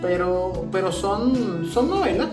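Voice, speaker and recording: A woman co-hosting a casual podcast, explaining things haltingly with repeated words. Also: mid-range voice, big pitch swings. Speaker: podcast co-host. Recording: distant echoey mic, music behind the voice. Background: plucked guitar music.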